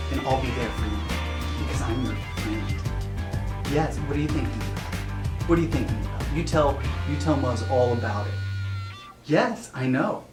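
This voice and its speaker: A man's voice: mid-range voice, roomy sound, lightly acted. A man playing a fussy, melodramatic friend who gushes repetitive reassurance in a comic improvised skit. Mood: consoling. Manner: gushing, repetitive, cooing reassurance. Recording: noisy humming home recording.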